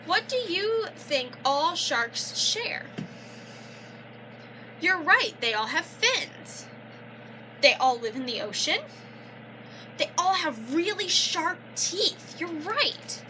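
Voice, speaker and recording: A young woman reading a children's picture book aloud like a teacher, pausing to explain and prompt with questions. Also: extremely high pitch, big pitch swings, pushed and bright, slight background noise, low-quality recording. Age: young adult. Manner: instructive, prompting listeners with questions and affirmations.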